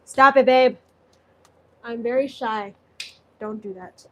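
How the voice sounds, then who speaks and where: A woman from Southeast Asia with a slightly high voice hosts a live cooking stream from her home kitchen. She rambles chattily, restarts her sentences and reads viewer comments aloud, over a faint hum of room noise. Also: slow pace. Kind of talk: casual live-stream chat while cooking.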